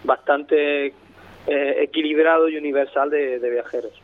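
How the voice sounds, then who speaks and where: A man's voice: on the high side, steady tone, moderate pace. A man from Murcia with a mixed Murcian and Andalusian Spanish accent, an author calling in to a radio interview, thoughtful and full of restarts. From Lorca, Murcia, Spain. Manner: thoughtful, many restarts and repeated words. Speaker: author and guest. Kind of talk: radio interview over the phone. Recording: phone line.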